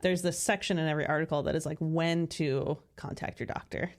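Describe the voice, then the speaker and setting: A woman's voice: low-pitched, fairly even intonation, a little fast. A woman co-hosting a podcast, talking casually and thoughtfully, often saying "like". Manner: thoughtful, chatty, many "like" fillers. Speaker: podcast co-host. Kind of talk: casual conversation.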